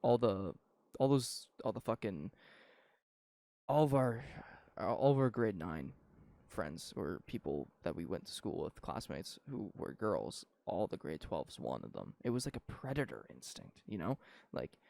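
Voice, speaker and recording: Man, 19, gravelly, animated pitch, casual rambling chat. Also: unhurried, dry recording. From Canada. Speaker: podcast co-host.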